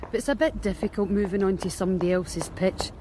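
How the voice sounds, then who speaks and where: A woman's voice: medium pitch, fast talker, background noise, natural voice. A woman playing a wry Scottish street worker. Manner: blunt, wry, matter-of-fact. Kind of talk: BBC radio drama acting.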